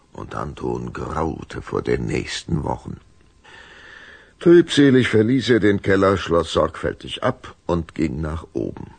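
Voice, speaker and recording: A man narrating a scripted radio play story in an expressive storytelling manner. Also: a bass voice, vocal fry, slightly rough, no echo.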